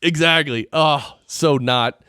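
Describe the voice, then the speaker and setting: A man's voice: fairly high pitch, takes it slowly, bright, forceful tone, smooth, no room sound, clean studio sound. A man hosting a podcast in casual interview chat, playful and slangy, given to exclamations. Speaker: podcast host.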